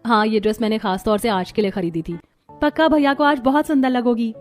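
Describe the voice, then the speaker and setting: A woman voicing polite young women of an Indian family in a cartoon story, with expressive delivery. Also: fairly high pitch, brisk, soft background music, lightly acted. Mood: admiring. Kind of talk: scripted cartoon story dialogue.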